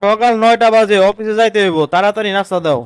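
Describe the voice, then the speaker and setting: Man playing a high-voiced, put-upon Bangladeshi husband. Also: fast, smooth, dry recording, studio quality, natural voice. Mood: exasperated. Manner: protesting, complaining. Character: put-upon, exasperated young cartoon husband and son. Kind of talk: comedy cartoon dialogue.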